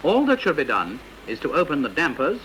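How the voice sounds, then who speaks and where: A British man reading a scripted instructional narration for a vintage training film, in a precise, measured, didactic manner. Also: fairly high pitch, huge pitch swings, a bit bright, slight background noise, poor audio.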